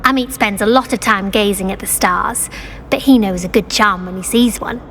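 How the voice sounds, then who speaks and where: A woman's voice: medium pitch, moderate pace, a bit bright, close to an everyday voice. A woman playing a studious, earnest teenage witch in a video game, courteous and well-spoken with a British accent. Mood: earnest, polite. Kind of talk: video game cutscene acting. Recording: faint background ambient noise.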